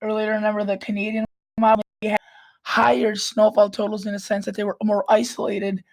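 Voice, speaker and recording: Hoarse, raspy woman with a cold; level, steady weather explainer, clears her throat often. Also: medium pitch, a little fast, rough recording. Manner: frequent restarts and throat clearing. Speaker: weather vlogger. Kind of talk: casual weather forecast walkthrough.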